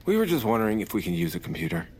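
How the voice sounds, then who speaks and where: Cocky low-voiced bounty hunter, animated. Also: male voice, rapid-fire, breathy edge, heavily acted. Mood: casual. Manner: flippant, chatty, conversational. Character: cocky, childish, rambling male bounty hunter. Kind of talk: audio drama acting.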